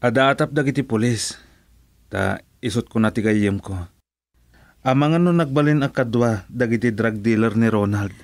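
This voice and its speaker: An actor with a Filipino accent playing an earnest, reasoning friend in a radio drama. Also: male voice, medium pitch, normal speed, pauses often, no echo, lightly acted. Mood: consoling, earnest. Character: earnest, reasoning male friend urging forgiveness.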